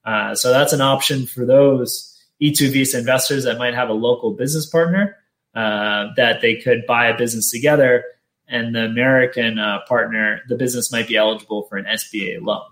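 A man hosting an informational webinar, explaining steps in a steady, matter-of-fact way. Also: medium pitch, brisk. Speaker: webinar host, business consultant. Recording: roomy echo.

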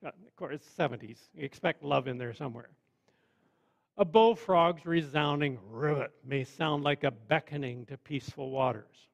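A man preaching a sermon in a measured, conversational way with wry asides. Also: a little high, vocal fry. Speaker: pastor.

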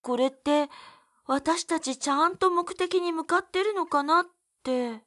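An actress playing a shy, gentle young woman who loves plants in a Japanese audio drama, speaking softly and earnestly. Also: very high voice, measured pace. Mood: hesitant, pondering.

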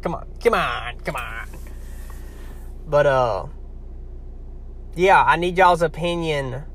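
A man's voice: a little high, slow, fairly bright tone, slight background noise. Chatty young man, heavy Louisiana drawl. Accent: heavy Southern US, Louisiana. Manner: chatty, rambling, talks straight to viewers. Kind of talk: casual talk to camera.

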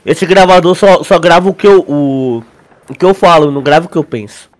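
A man's voice: fairly high pitch, a little fast. A young man with a Brazilian accent chatting casually as a podcast co-host, using lots of slang and filler words. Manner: slangy, with frequent filler words like "tipo" and "mano". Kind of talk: casual chat about a sci-fi audio drama.

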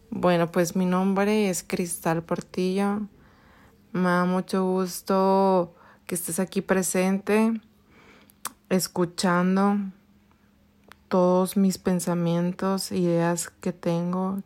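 A Mexican woman in her late twenties hosting a personal podcast, telling a story slowly with many pauses, restarts and fillers. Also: mid-range voice, a little mellow. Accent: Mexican Spanish. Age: 20s. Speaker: podcast host.

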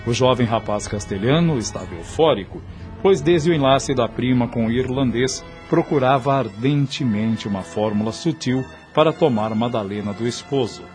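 A male narrator of a radio soap reads dramatic literary prose. His pitch is middling, and music plays behind his voice. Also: moderate pace, a little mellow. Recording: music under the voice.

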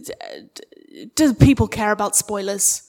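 A woman chatting casually as a guest at a live interview, jokey, informal and halting as she explains her work. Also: mid-range voice, darkish tone. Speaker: guest, audio fiction creator. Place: live event room with audience.

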